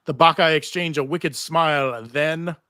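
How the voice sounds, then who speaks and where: A man's voice: on the high side, measured pace, pushed and bright, smooth, no room sound, clean studio sound. A man reading TV script stage directions aloud at a live table read, theatrical with wry asides. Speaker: table read narrator and panel host. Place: live convention panel.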